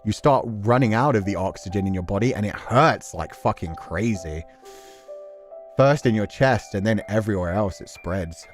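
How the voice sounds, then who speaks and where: A man's voice: on the low side, moderate pace, faint music behind. A man giving casual, emotive live commentary as a game streamer, swearing freely and acting out characters' thoughts as they analyse a story. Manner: emotive, acts out imagined inner thoughts, sweary.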